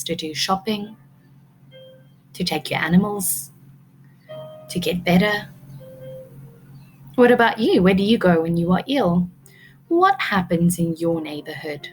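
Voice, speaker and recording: Slow-paced woman reading a storybook aloud. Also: fairly low pitch, poor audio. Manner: expressive, prompting listeners with questions. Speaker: early learning teacher reading a picture book aloud. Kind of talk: read-aloud storytime with questions to children.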